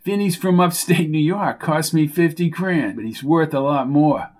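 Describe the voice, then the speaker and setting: A man voicing a hot-tempered male racehorse owner who talks gruffly and bosses people around. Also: fairly high pitch, normal speed, natural voice. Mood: angry. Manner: gruff, bossy, threatening.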